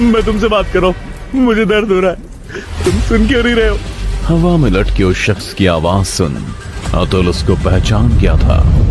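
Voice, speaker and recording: A man narrates a scripted thriller as a dramatic storyteller. His voice is very deep. Music is clearly audible behind the narration.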